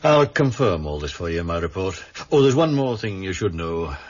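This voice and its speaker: An actor playing an assured forensic pathologist in a radio crime drama, clinical and deliberate. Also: male voice, deep voice, very animated, dull audio. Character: dry, assured male forensic pathologist explaining findings. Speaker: police pathologist.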